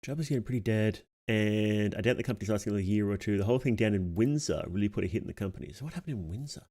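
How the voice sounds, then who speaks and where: Low-voiced man streaming casually. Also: racing, dark tone. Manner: reads text aloud, muses and reacts aloud. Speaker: streamer reading on-screen text and reacting. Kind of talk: casual livestream reading and commentary.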